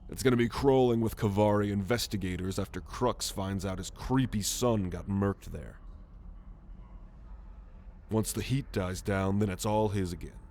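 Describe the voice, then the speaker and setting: A man voicing a proud, gruff alien military renegade in an ASMR roleplay, haughty and sardonic in a measured delivery. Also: fairly low pitch, a little mellow, slight background noise, lightly acted. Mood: pragmatic, brusque. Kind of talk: ASMR roleplay monologue to the listener.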